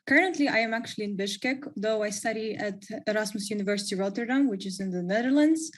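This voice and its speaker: A woman's voice: mid-range voice, fast talker. A young woman from Kyrgyzstan, a first-year student, introducing herself in a friendly, straightforward way on an online panel. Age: young adult.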